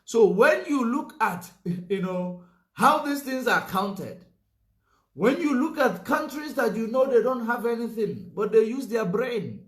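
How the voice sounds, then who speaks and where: A man's voice: very high voice, expressive, unhurried, a bit bright, reverberant. A man giving a political commentary monologue, emphatic, repeating his points and filling gaps with "you know".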